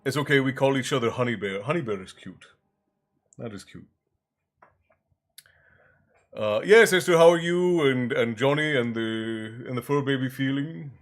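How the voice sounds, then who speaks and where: A man's voice: mid-range voice, normal speed. Congested guy chatting with his stream, jokey, faint music playing under him. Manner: chatty, joking, greets viewers by name. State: congested, with a bit of a chesty cough. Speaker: livestream host. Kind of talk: casual chat with live viewers. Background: soft background music.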